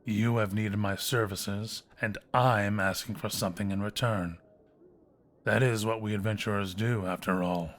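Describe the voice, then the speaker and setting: A man's voice: fairly low pitch, steady tone, normal speed, slight background noise, natural voice. A man playing a smug, scheming adventurers' guildmaster in a fantasy audio drama, theatrical and taunting with mock courtesy. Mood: sly, self-justifying.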